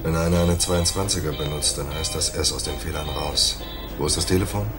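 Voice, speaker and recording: An actor playing a wry, hard-boiled private detective, narrating a radio play in a dry, laconic, sardonic way. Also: male voice, very deep voice, a little fast, over loud music.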